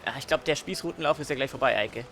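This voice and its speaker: A man's voice: mid-range voice, quick pace, fairly bright tone, slight background noise. A man joking and mocking the game as he comments on it. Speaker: let's play host.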